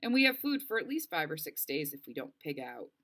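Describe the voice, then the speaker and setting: A woman's voice: sits low, sing-song, fairly quick, fairly bright tone, exaggerated voice. A woman voicing a practical, level-headed young camper who guides a stranded friend, in a storybook read-aloud. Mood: reassuring. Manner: matter-of-fact, reassuring. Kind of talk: storybook character voice.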